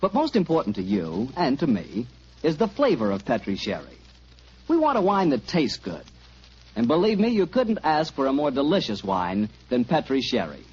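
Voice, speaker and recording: Animated husky American radio announcer man. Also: medium pitch, moderate pace. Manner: emphatic, salesmanlike. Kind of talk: 1940s radio announcing and sponsor pitch. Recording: dull 1940s radio recording.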